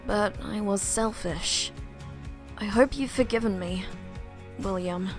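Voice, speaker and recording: A woman voicing a weary woman who speaks softly and reflectively. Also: medium pitch, slow, soft background music, natural voice.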